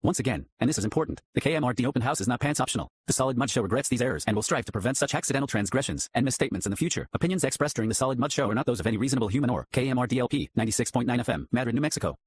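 A male announcer reads a comic legal disclaimer with a straight, formal delivery. He goes extremely fast in a husky, medium-pitched voice, recorded dry and close. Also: no echo. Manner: formal, deadpan. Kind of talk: deadpan scripted mock disclaimer.

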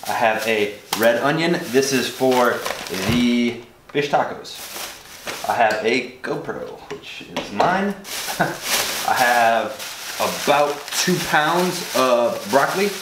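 A man's voice: medium pitch, lively intonation, takes it slowly. A young American man chatting casually and informally in a home vlog, in a slangy, chatty way. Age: 20s to early 30s. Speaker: fitness food vlogger. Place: home kitchen, indoors. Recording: roomy echo, clear noise and clicks.

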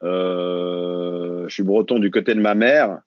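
A man's voice: sits low, steady tone, slow, dry recording. A French man, a runner and mental coach, talking casually as a guest and restarting his sentences often. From France. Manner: hesitant, many restarts and fillers. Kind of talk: casual interview. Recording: dull lo-fi call audio.

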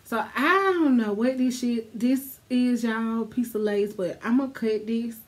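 A woman's voice: on the high side, a little slow. A young woman with a congested, stuffy voice, chatting bluntly to her viewers in a casual wig review, swearing freely. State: stuffy, congested from a sinus infection. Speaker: beauty vlogger. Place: small dark room at home.

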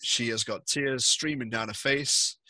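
A man working as game master, narrating a tabletop horror game in halting pieces on a headset. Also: mid-range voice, steady tone, sharp tone, dry recording. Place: indoors, on a video call.

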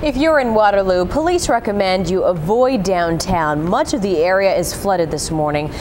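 A woman with an American accent presenting scripted television news. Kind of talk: scripted traffic and news report. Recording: rumbling background noise.

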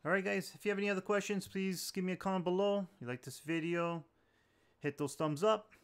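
A man's voice: high voice, steady tone, a little fast, smooth. A man hosting a tech tutorial, talking viewers through steps in an informal, plain-spoken way. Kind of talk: casual step-by-step tutorial narration.

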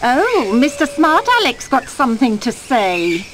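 A wicked old cartoon witch, crotchety and bossy. She speaks slowly with wide swings of pitch, and there is clear noise behind her. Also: big pitch swings. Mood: bossy, impatient. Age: older. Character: wicked, short-sighted old witch, greedy and impatient. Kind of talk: fairy-tale cartoon acting.

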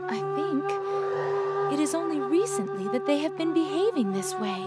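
A woman voicing a gentle, devoted young genie in a video game, polite and formal in manner. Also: extremely high pitch, expressive, measured pace. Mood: gentle, earnest. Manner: soft-spoken, polite, formal. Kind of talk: video game cutscene acting. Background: loud music.

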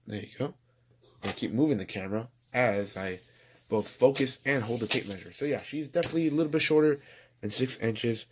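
A young man reviewing toys at home in a rambling, chatty way, his voice going hoarse. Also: mid-range voice, fairly quick, background noise. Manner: rambling, chatty, self-correcting. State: losing his voice. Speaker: toy reviewer. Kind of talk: casual toy review voice-over.

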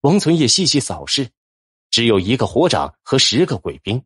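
A man's voice: mid-range voice, very animated, moderate pace, fairly bright tone, slightly rough. A man narrating a fantasy novel in a dramatic, scripted audiobook style. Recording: background music under narration.

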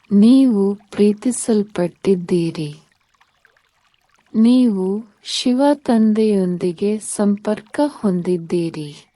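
Very slow, mellow woman guiding meditation. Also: medium pitch, no room sound. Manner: calm, soothing, measured. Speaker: meditation guide. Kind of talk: guided sleep meditation narration.

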